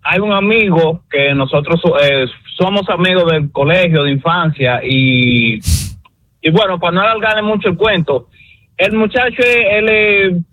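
A man's voice: high-pitched, a little slow, sharp tone, no echo, muffled recording. A man from the Dominican Republic with a Dominican accent, telling a long, chummy story to the host. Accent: Dominican Spanish. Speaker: caller or guest.

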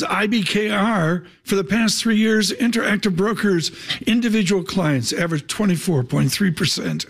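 Husky, high-voiced male show host. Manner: rambling, animated chat. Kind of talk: live business show banter.

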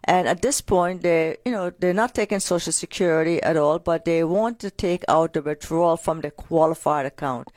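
A woman co-hosting a financial radio show, telling client stories in an energetic, talkative way. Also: deep voice, normal speed, few pauses, dry recording. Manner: energetic, storytelling with client anecdotes. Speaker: radio co-host, financial advisor. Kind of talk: casual radio finance talk.

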